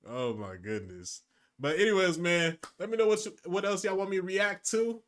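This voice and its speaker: A man's voice: sits high, animated, moderate pace, a bit bright. A young American man hosting a reaction video, casual and amused, with plenty of slang and swearing.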